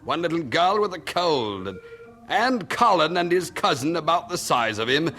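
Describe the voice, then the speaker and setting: A man's voice: fairly high pitch, big pitch swings, normal speed, sharp tone, soft background music. A man narrating a scripted story in a dramatic old-time radio manner.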